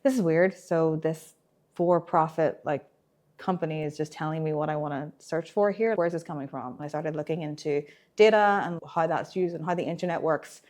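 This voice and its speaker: An Irish woman with a slight American lilt talks in a low register. She is an engineer being interviewed at a conference, upbeat and enthusiastic, often laughing between points. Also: normal speed, a little mellow. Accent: Irish with some American. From Ireland. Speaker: developer relations engineer. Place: conference venue.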